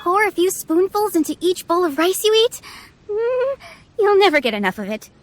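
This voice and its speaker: A woman's voice: very high voice, very animated, moderate pace, slight background noise. A woman playing a helpful, polite restaurant server in a video game, presenting things in an obliging manner. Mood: obliging, promotional. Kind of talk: scripted video game acting.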